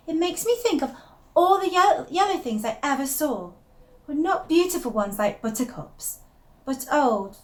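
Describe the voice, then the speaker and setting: A woman performs an unravelling young wife in a dramatic monologue, her voice pitched high. She is intense and confiding, moving between hushed secrecy and emphatic, obsessive fascination, as if telling a diary what nobody else may know. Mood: brooding, fascinated. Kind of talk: dramatic first-person monologue reading.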